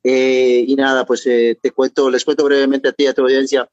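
A middle-aged man from Peru with a Peruvian accent, a guest talking in a halting way, repeating words and adding tag questions. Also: medium pitch, little pitch movement, a little mellow, no room sound, muffled recording. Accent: Peruvian Spanish. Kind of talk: interview.

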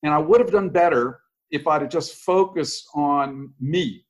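A man's voice: fairly high pitch, measured pace. A man past sixty speaks as a podcast guest, candid and a little self-deprecating. He tells his stories in loose, conversational runs, often restarting his sentences mid-thought. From United States. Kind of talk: casual conversational interview.

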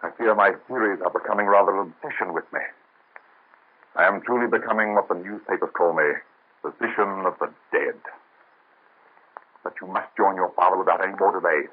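An actor playing an earnest, anguished young physician in an old radio drama. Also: male voice, deep voice, steady tone, fairly quick, pauses often, breathy edge. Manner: earnest, melodramatic. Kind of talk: 1930s radio drama acting. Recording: tinny old radio recording.

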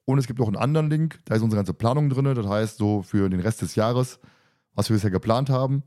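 A man with a fairly low voice talks very quickly as he moderates a casual podcast. He is chatty and teasing, and the sound is dry and close. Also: mellow, no echo. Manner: joking, teasing, talkative. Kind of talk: casual podcast chat and announcements.

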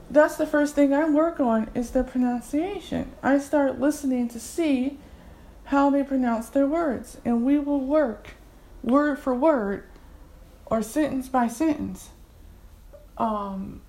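A woman talks through a lesson on pronunciation in a relaxed, explanatory way. Her voice sits a little high, and she takes her time, pausing on fillers as she goes. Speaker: language tutor. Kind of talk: casual instructional talk.

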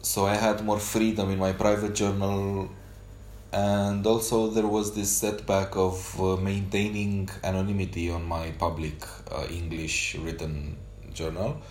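A man whose first language is Romanian, talking unscripted on a solo podcast journal, hesitant with many pauses and fillers. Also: low-pitched, flat delivery, darkish tone, roomy sound, slight background noise. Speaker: podcast host.

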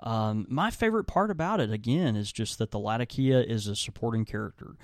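A man's voice: mid-range voice, expressive, fairly quick, no echo, clean studio sound. A young man co-hosting a podcast, talking in an easygoing, rambling way with lots of fillers. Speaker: podcast co-host and tobacco shop worker. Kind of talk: casual chat.

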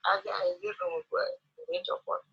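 A man's voice: very high-pitched, wildly swooping pitch, normal speed, vocal fry. A man with a Jakarta Indonesian accent chatting casually over a phone line. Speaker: friend receiving a prank call.

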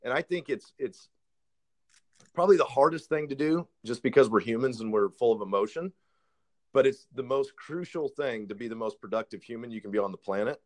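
An earnest man in his thirties talks through his work in a relaxed, casual exchange. He chuckles at himself, then settles into sincere advice, with a voice of ordinary middle pitch. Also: brisk. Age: 30s. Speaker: realtor. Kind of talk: casual podcast conversation.

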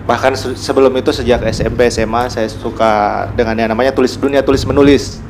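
A man's voice: mid-range voice, fairly even intonation, a little fast. Gorontalo Indonesian man talking over background noise. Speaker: podcast guest, writer. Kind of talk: casual conversational interview. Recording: noticeable room noise and ambience.

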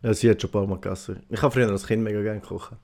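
A man's voice: fairly low pitch, fairly even intonation, very fast, darkish tone. A Swiss man with a Swiss German accent, co-hosting a podcast in joking, teasing banter. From Switzerland. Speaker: podcast co-host. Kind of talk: casual chat.

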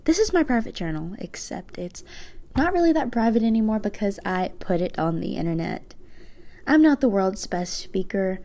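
Teen girl podcaster, low mellow gravelly voice, soft and hesitant. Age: teens. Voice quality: low voice for a woman. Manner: soft-spoken, reflective, slightly hesitant. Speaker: podcast host. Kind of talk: personal podcast monologue, reading own journal.

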